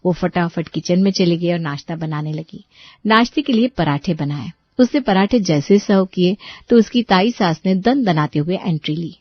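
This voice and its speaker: A woman's voice: lowish voice, expressive, normal speed, no echo, highs cut off. A woman storyteller reading scripted narration for a family moral story.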